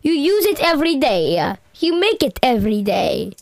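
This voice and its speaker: A teenage girl with a high-pitched voice co-hosts a student podcast. Her talk is casual and playful, with an amateur stab at an Italian accent. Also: big pitch swings, moderate pace. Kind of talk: casual chat, posing riddles.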